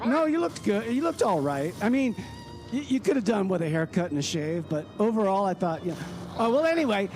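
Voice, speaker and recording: Man doing a very high-voiced chummy radio caller. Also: big pitch swings, brisk, a little mellow, soft background music, poor audio. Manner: questioning, chummy. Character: chatty, nosy male caller, breezy and puzzled. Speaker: caller on a comedy radio show.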